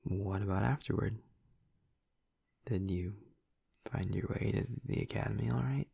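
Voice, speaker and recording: Man acting a husky, low-voiced gentle vampire roommate. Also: no echo, heavily acted. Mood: gentle, attentive. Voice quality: soft, breathy, husky. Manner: hesitant, halting, tender. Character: gentle, awkward, affectionate male vampire roommate. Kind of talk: intimate audio roleplay addressed to the listener. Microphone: close, dry microphone.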